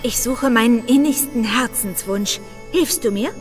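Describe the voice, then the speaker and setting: A woman's voice: fairly high pitch, unhurried, big-room sound, soft background music, natural voice. A woman voicing a cheerful, wheedling young heroine in an adventure game. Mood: sweetly persistent. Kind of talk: game dialogue.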